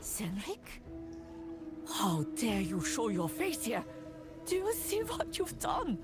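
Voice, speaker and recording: A stern, devout preacher in a fantasy game. Her fairly high voice moves slowly and expressively, solemn and formal. Music swells behind her. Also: huge pitch swings, heavily acted. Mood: indignant. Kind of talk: dramatic game voice acting.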